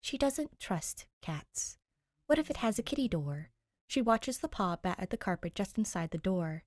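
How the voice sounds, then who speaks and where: A woman's voice: mid-range voice, very animated, moderate pace, darkish tone, no room sound. A woman giving a scripted narration of a story as an audiobook reader. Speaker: podfic narrator.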